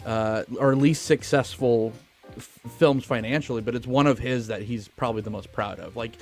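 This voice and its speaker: A man's voice: medium pitch, steady tone, moderate pace, darkish tone, faint music behind. A man co-hosting a film podcast in casual, opinionated, profane conversation.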